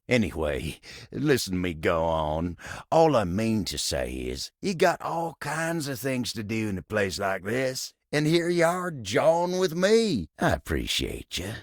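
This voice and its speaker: An actor playing a lonesome, bashful cowboy table in a video game, with a gravelly Western American drawl and a gruff but kind, folksy, halting manner. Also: male voice, fairly low pitch, dry recording, studio quality, full character voice. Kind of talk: video game character dialogue.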